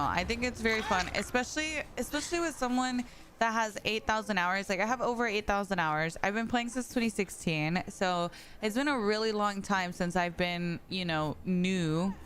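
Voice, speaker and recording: Young woman, livestreamer, tired, chatty, greets chat, casual, noisy background. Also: medium pitch. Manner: chatty, reads and greets chat, frequent likes. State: tired from staying up late. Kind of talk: casual live stream chat.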